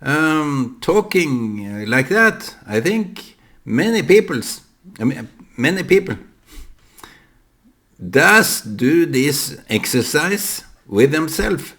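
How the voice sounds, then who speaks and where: A man with a Norwegian accent, a native Norwegian speaker, talking to the camera in a reflective monologue, halting and full of fillers and restarts. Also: on the high side, sing-song, fairly bright tone. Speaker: vlogger.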